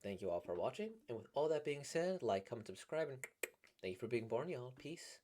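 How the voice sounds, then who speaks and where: Slightly squeaky man rambling playfully. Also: very animated, brisk, warm tone. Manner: rambling, playful, laughs at his own jokes. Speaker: voice actor reacting to comics. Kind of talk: casual reaction commentary.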